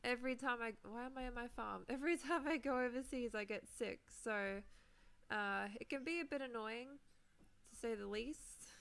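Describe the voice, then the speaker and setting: Chatty young woman with a gravelly voice. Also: fairly high pitch, moderate pace, a bit bright. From New Zealand. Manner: chatty, rambling, laughs often, many fillers. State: recovering sore throat. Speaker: Minecraft streamer. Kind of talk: casual chat while playing a game live.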